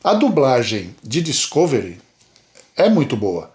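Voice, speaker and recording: A Brazilian man from Brazil co-hosting a casual podcast chat, animated and emphatic, often repeating words. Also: mid-range voice, a little slow. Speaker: podcast co-host.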